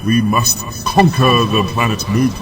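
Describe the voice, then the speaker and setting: A man's voice: medium pitch, fairly even intonation, measured pace, music in the background, close to an everyday voice. A man playing a pompous, villainous university chancellor giving an oratorical broadcast address in a science fiction audio drama. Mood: imperious. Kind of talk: formal speech in sci-fi audio drama.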